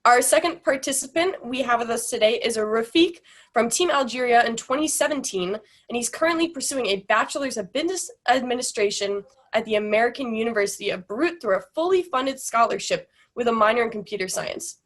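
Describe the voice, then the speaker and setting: A woman's voice: a little high, animated, fairly quick, a bit bright. A woman moderating an online panel over a video call, reading the guest introductions in an upbeat way.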